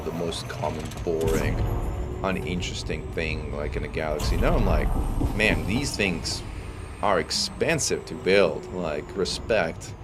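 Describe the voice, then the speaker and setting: A deep-voiced man from Italy speaks with a faint Italian accent worn down by years away. He chats loosely and drifts between topics, reacting to a stream chat while he plays. A steady hiss of background noise sits under his voice. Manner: relaxed, chatty, reads chat aloud. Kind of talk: casual gameplay stream chat.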